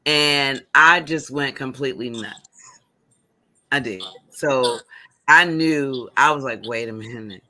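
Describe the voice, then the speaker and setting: A man's voice: medium pitch, slow pace, pushed and bright. A man hosting an interview in a chatty, affirming way, often backing up the guest. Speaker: interview host. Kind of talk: casual interview chat.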